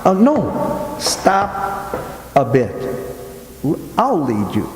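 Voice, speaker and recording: A man acting an uneasy, imaginative gentleman in an amateur radio drama. His fairly high voice swings widely in pitch and hesitates as he muses. It all rings through an echoey hall. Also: wildly swooping pitch, slight background noise, full character voice. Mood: reassuring, careful. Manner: hesitant, halting, musing. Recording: echoey hall with long reverb.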